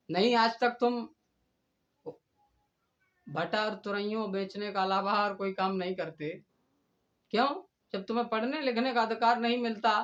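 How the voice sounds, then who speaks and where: A man's voice: high-pitched, a bit bright, muffled recording. A man delivering an indignant, oratorical admonishment, scolding someone by direct address. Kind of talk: admonishing monologue addressed to a rival and the community.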